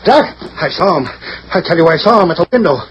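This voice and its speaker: An actor playing a frightened, overwrought doctor in a 1940s radio drama, agitated and insistent. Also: male voice, sits high, expressive, quick pace. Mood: panicked, insistent. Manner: agitated, insistent, repeating himself. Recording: dull, noisy vintage radio recording.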